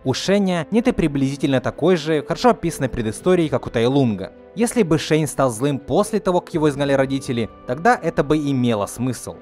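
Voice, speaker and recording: A man's voice: a little high, lively intonation, fast, few pauses, a little mellow. A young man narrating an opinionated film critique in an argumentative, emphatic way. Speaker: video essayist. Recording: music behind the voice. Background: faint background music.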